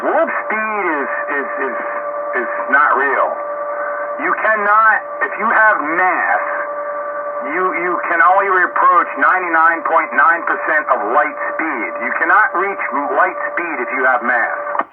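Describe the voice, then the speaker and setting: A man in a casual radio chat, explaining things in a halting way. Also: fairly high pitch, expressive. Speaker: amateur radio operator. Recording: tinny, very noisy shortwave radio transmission. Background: loud radio static.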